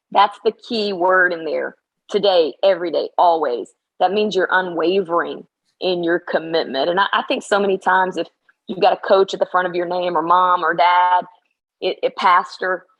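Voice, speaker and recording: A middle-aged woman basketball coach, slightly hoarse after practice, talking in an emphatic, motivational way in a casual interview. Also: lowish voice, low-quality recording.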